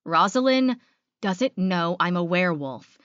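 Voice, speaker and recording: A woman's voice: lowish voice, expressive, a little slow, a bit bright, clear, resonant tone, no echo, dull audio. Woman playing an apologetic male werewolf, pleading.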